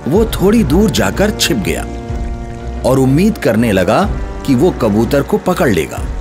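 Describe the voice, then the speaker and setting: A man narrating a scripted children's moral story in an expressive storytelling manner. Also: mid-range voice. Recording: music under the voice.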